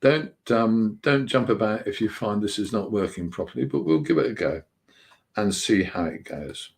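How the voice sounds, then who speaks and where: A man's voice: lowish voice, moderate pace. An older man hosting a live chat show for older viewers, talking in a loose, rambling way with fillers. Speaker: host.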